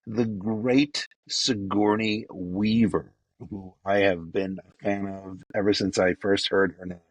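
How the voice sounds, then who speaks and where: A man hosting a fan podcast, giving casual, effusive birthday shout-outs, rambling with lots of fillers. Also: on the low side, slightly rough, no room sound, low-quality recording. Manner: effusive and enthusiastic, loose with fillers. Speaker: podcast host.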